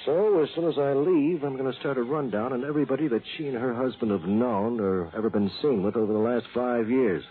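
An actor playing a plain-spoken, seasoned homicide sergeant in a 1960s radio drama, gruffly friendly and colloquial. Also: male voice, mid-range voice, brisk, hardly any pauses, close to an everyday voice. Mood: businesslike, serious. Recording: muffled old radio broadcast, phone-like band.

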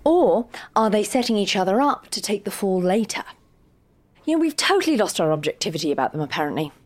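A woman's voice: mid-range voice, big pitch swings, quick pace, lightly acted. An actress playing a probing, principled, playful woman storyteller in an audio drama.

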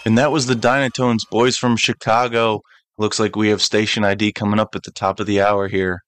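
A man's voice: on the low side, flat delivery, a little fast, fairly bright tone, a little hiss or hum. Hesitant male radio host. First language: English. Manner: hesitant, many restarts and ums. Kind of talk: casual radio chat.

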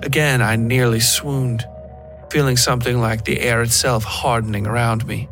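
A man narrates a scripted horror tale for a fiction podcast. His pitch is average for a male voice, and music plays clearly underneath. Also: little pitch movement, moderate pace, fairly bright tone. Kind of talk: scripted first-person horror story narration.

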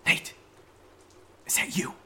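A man playing a nervous, sarcastic young man in a horror audio drama, speaking in halting, rambling bursts with sardonic asides. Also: sharp tone, slight background noise. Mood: uneasy, halting.

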